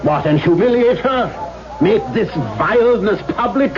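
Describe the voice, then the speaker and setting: A menacing, proud older father, imperious and quick to threaten. The voice is pitched high for a man, on a muffled old radio drama recording. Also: animated. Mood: outraged. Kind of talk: 1970s radio drama acting.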